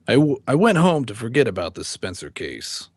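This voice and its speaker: An actor playing a stern, middle-aged judge and weary husband, speaking formally and with measured delivery in an old-time radio drama. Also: male voice, mid-range voice, big pitch swings, slightly rough, dry recording. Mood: confiding. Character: stern male judge, weary husband confiding his downfall. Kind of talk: old-time radio drama acting and first-person narration.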